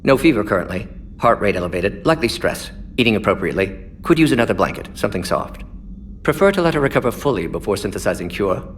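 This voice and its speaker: An actor playing an aging alien scientist in a video game, clinical and unapologetic, speaking in clipped, telegraphic phrases. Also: male voice, on the low side, very animated, fast talker, slight background noise. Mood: clinical, caring. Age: older. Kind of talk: video game character dialogue.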